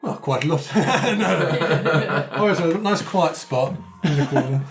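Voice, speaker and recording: A man's voice: fairly high pitch, animated, unhurried, background noise. A man chatting as a player in a game podcast, with dry, joking delivery. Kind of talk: casual banter.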